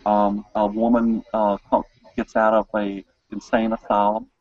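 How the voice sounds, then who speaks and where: A man's voice: lowish voice, completely flat delivery, unhurried, no room sound, dull audio. A man hosting a casual interview, talking informally and enthusiastically. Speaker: interview host. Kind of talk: casual chat.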